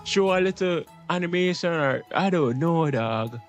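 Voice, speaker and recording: A slightly high-voiced man grumbles through casual gameplay commentary. His talk is slangy and full of swearing, with game music playing behind him. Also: lively intonation, moderate pace. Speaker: game streamer.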